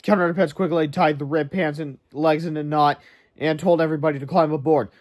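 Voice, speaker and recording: A man's voice: fairly high pitch, steady tone, fairly quick. A man reading a children's chapter book aloud as storybook narrator, with asides, stumbling over words now and then. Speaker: storybook reader. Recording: very noisy amateur recording.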